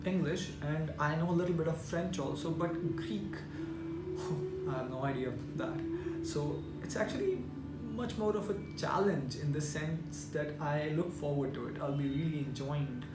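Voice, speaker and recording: Young man, native Punjabi speaker, fairly high voice, earnest and filler-heavy, echoey room with loud music behind. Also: lively intonation, moderate pace. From India. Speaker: applicant. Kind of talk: self-introduction video.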